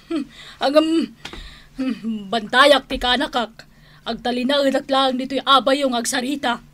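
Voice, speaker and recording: A woman's voice: sits high, steady tone, measured pace, a bit bright. A woman playing a feisty, suspicious aunt, blunt and menacing, in an Ilocano radio drama with an Ilocano accent. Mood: suspicious, grudging. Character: feisty, suspicious aunt threatening intruder with a shotgun.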